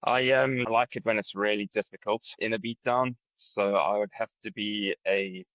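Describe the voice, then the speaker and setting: A man's voice: fairly low pitch, steady tone, moderate pace, a bit bright, no echo, lo-fi sound. South African man telling his story. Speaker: podcast guest. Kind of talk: casual interview.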